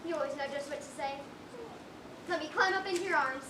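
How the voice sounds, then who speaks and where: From across an echoey stage comes a woman playing a very high-pitched little girl. She is earnest and imaginative, with quaint, childlike phrasing, in a school play. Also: fast, lightly acted. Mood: dreamy, confiding. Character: earnest, imaginative little orphan girl who talks to trees. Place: stage in a large room, distant microphone. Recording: noisy, echoey stage recording from far off.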